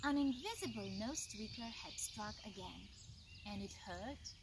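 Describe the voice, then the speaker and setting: A woman reads a children's bedtime story at a very slow, expressive pace. Her voice is on the high side and sounds set back from the mic, with loud chirping insects and birds all around. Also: noisy background. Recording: distant mic, loud insect and bird ambience.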